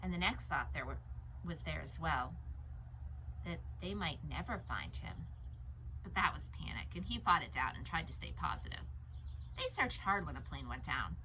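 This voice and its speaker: Low-pitched, expressive woman storyteller. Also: normal speed, a little hiss or hum, poor audio. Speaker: read-aloud narrator. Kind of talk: expressive audiobook narration of a novel.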